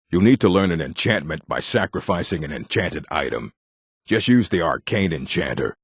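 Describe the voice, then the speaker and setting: Stern orc, game character: very low, husky, commanding delivery with wide swings in pitch. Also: male voice, no echo, clean studio sound, full character voice. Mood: instructive. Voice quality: gravelly, husky. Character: gruff male orc, stern bookish keeper and warrior. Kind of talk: video game character voice acting.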